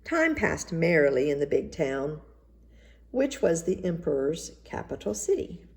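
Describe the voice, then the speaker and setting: A woman reading a children's story aloud in an expressive, measured narrating style. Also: low-pitched, creaky. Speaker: storybook reader.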